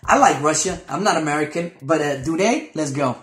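A man's voice: on the high side, animated, a little fast, fairly bright tone. A man in his twenties giving blunt, opinionated commentary with casual swearing as host of a reaction video. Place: small room indoors, plain wall. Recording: roomy echo, faint music.

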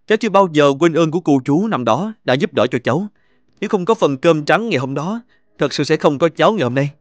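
An earnest young businessman in a cartoon, acted by a man, with a fairly high voice. He is polite and grateful, in a very dry recording. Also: animated, quick pace, no room sound, lightly acted. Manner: polite, sincere.

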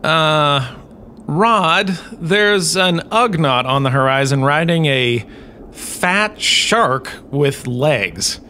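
A man's voice: fairly high pitch, lively intonation, extremely slow, bright, forceful tone, slight background noise, heavily acted. A man playing a glib, theatrical university lecturer who gives a scripted comic talk with wry, chatty asides. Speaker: host.

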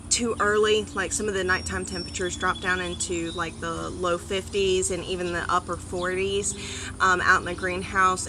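A woman in her thirties giving a casual gardening vlog outdoors on a front porch, chatty, with many ums and animated asides. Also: mid-range voice, background noise. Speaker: gardening vlogger. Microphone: phone or camera on a small tripod.

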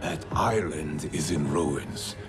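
An actor playing a grave, doubtful villain's lieutenant in a game, solemn and deliberate. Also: male voice, rumbling low. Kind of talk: cinematic video game acting. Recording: music behind the voice.

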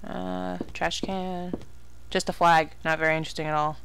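A woman's voice: lowish voice, level tone, moderate pace, gravelly, fairly bright tone, slight background noise. A woman giving casual horror game commentary, exclaiming, swearing and muttering asides to herself. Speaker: let's play gamer.

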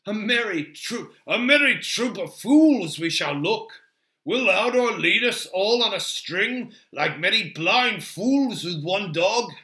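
Man voicing a proud, forceful stubborn dwarf warrior. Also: sits high, measured pace, few pauses, pitched above the performer's own voice, heavily acted. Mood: wry, mocking. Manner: loud, emphatic, defiant declamation. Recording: roomy, echoing sound.

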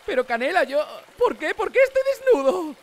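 A woman's voice: very high-pitched, very animated, normal speed, full character voice. A woman with a Spain accent voicing an exasperated, sensible young male mayor in a cartoon parody. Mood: shocked. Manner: incredulous, exasperated, colloquial. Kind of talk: animated parody fandub acting.

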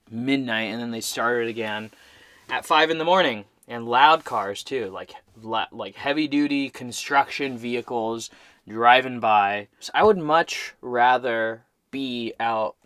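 A man in his thirties talks straight to his camera from a cramped truck camper. He sounds relaxed and chatty, in an everyday mid-range voice. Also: mid-range voice, clean tone, no echo. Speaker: vlogger. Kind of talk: casual solo camping vlog. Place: inside a small truck camper.

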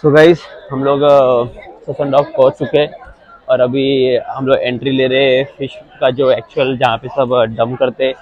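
A man's voice: medium pitch, level tone, moderate pace, darkish tone, muffled recording. A man with a Mumbai accent, chatting casually as he walks through a busy outdoor fish market for his vlog. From India. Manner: chatty, switches between Hindi and Marathi with English words. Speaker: vlogger. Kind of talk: casual vlog narration, walking tour.

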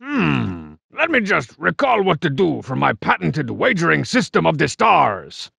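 A man voicing a cocky, juvenile, short-tempered masked cartoon villain for a video game, gravelly and raspy, with boastful, mocking, slangy delivery. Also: on the high side, wildly swooping pitch, measured pace, pushed and bright, no echo, full character voice. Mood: musing, smug. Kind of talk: video game character barks.

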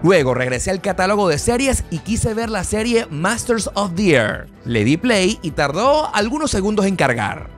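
A man's voice: sits high, very animated, normal speed, over music. A man narrating a scripted tech review in his vlog, with an enthusiastic storytelling manner. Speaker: tech vlogger.

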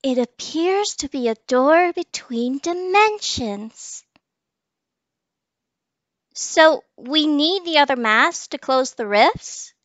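A woman's voice: high voice, animated, takes it slowly, fairly bright tone, no room sound, highs cut off, full character voice. A woman voicing a cheerful young girl genius in a fan audition. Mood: upbeat.

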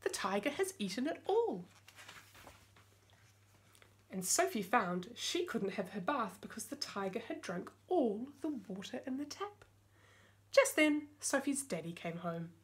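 A middle-aged woman reads a picture book for young children. Her voice sits a little high, and she tells the story expressively and in simple terms. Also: very animated, measured pace. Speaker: storytime host reading aloud. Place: small indoor room with bookshelves.

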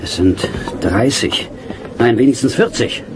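An actor playing a blunt, war-weary soldier in a German radio drama. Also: male voice, mid-range voice, lively intonation, a little slow, background noise, natural voice. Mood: alert.

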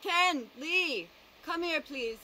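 An actress playing a weary, compassionate medic in a zombie video game, with a gentle, earnest way of speaking. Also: female voice, very high-pitched, lively intonation, takes it slowly, a little hiss or hum, full character voice. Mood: pleading.

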